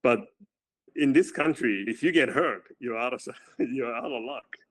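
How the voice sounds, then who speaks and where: A man with a loud voice, a running coach as guest, talking casually and often restarting with repeated words. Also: mid-range voice. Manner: halting, repeats words while thinking. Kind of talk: casual interview chat.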